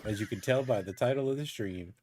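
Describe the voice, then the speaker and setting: A man's voice: fairly low pitch, quick pace, background noise. A man co-hosting a livestream, chatting casually with his partner and the viewers. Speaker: livestream co-host. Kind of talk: casual chat.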